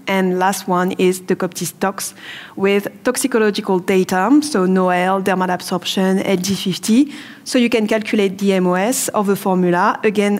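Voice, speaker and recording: French-accented woman doing a trade fair presentation on a mic, methodical and explanatory. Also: mid-range voice. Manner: explanatory, steady, methodical walkthrough. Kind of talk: product presentation talk.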